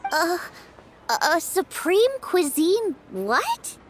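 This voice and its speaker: A woman's voice: very high voice, sing-song, extremely slow, very noisy, exaggerated voice. A woman voicing a perky, friendly teenage girl cook in an anime-style video game, bubbly and informal. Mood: puzzled, surprised.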